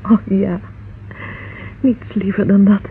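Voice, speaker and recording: A woman's voice: mid-range voice, flat delivery, slow, a little hiss or hum. An actress playing an anxious, affectionate young woman in a Dutch radio drama, hesitant and confiding. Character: anxious, affectionate young sweetheart of a police inspector. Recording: muffled, husky-sounding old radio recording.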